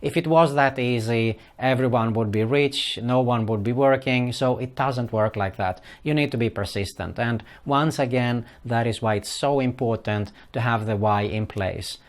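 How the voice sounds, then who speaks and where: A middle-aged man gives earnest advice in English, his third language. He talks casually and stops often on "uh" and "for example". His voice sits in an ordinary middle pitch. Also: moderate pace, few pauses. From Sweden. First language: Swedish. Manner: earnest, repeats "for example" and "keep that in mind", frequent "uh" fillers. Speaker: online business vlogger. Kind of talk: casual advice talk to camera.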